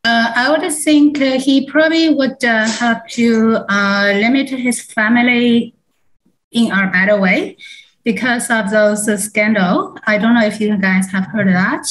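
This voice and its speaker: A woman's voice: fairly high pitch, unhurried, low-quality recording. A woman from China in a focus group, speaking hesitantly with many fillers. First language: Chinese.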